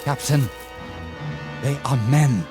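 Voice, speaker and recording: An actor playing a shaken, compassionate army lieutenant in a radio drama, hesitant, earnest and pleading. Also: male voice, mid-range voice, takes it slowly, natural voice. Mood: anguished protest. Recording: music under the voice.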